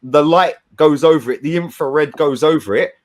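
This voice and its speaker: Guy in his 30s, kinda high voice, ranting and cussing, talks over everyone. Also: moderate pace. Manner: aggressive, swearing, talks over others. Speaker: fan panelist. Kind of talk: heated argument.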